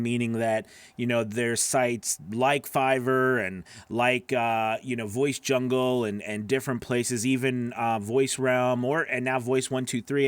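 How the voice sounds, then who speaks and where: A middle-aged man talks casually into a close broadcast microphone in a dry home studio. His voice sits at an average male pitch. He explains things like a coach hosting his own video, conversational and loosely rambling, often checking that the listener follows. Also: smooth, dry recording. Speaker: voice-over coach and video host. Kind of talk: casual instructional talk to camera. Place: small home studio with acoustic foam, indoors. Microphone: broadcast microphone on a boom arm, close.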